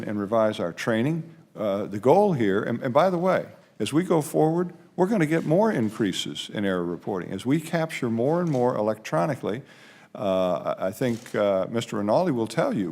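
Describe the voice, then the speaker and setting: A man's voice: mid-range voice, a little mellow. A man testifying as an aviation official at a hearing, explaining things in an emphatic way. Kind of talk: spoken testimony.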